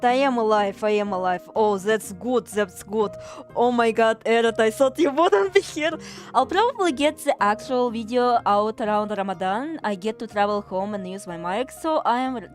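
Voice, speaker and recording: Woman streamer from Russia, Russian accent, rambling casual chat, swears a lot, fairly high voice, faint music underneath. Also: animated, moderate pace. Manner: rambling, swears freely, self-deprecating, reads chat aloud. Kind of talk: casual gaming livestream chat.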